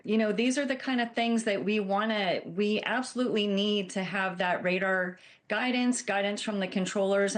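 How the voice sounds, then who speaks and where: A woman airline captain giving measured, earnest explanations as an expert guest in a news interview. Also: medium pitch. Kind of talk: broadcast news interview answers.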